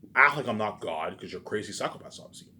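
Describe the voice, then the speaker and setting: A man's voice: on the low side, expressive, quick pace, bright, forceful tone, slight background noise. A man delivering an unscripted, agitated rant, repetitive and profane, often stumbling over and repeating his words. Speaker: vlogger. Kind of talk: unscripted rambling rant to camera.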